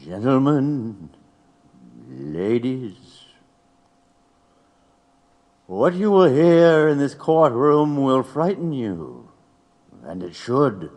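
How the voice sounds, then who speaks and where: Guy doing a slow portentous prosecutor, formal, audio drama, big hall reverb. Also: mid-range voice, very animated, a little mellow, exaggerated voice. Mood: grave, ominous. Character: ominous, insistent male prosecutor in historical trial. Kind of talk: historical courtroom audio drama acting.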